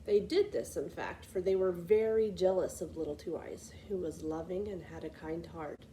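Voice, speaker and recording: A woman reading a children's fairy tale as a storyteller, with expressive scripted narration. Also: medium pitch, a little hiss or hum, low-quality recording. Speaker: library storyteller.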